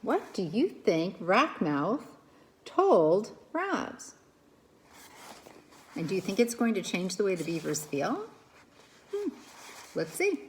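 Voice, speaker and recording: A woman reading a children's book aloud as a teacher would, with expressive narration, dramatic pauses and questions for young listeners. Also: medium pitch, slight background noise.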